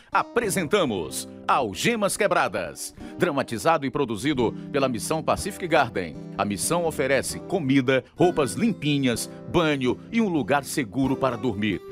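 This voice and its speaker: A man's voice: mid-range voice, sing-song, moderate pace, hardly any pauses. A man with a Brazilian accent reading scripted dramatic narration as announcer of a radio drama series. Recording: acoustic guitar music behind the voice.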